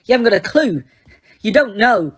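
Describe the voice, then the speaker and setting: A grieving teenage boy wizard, shouting and shaking with rage, as a woman reading a storybook acts him out. The voice rides high and loud above the narration. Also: animated, moderate pace, breathy edge. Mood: furious.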